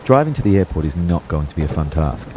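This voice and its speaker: A man's voice: rumbling low, very animated, fast, background noise. A man playing a wry young personal trainer who narrates his own life in an audio drama.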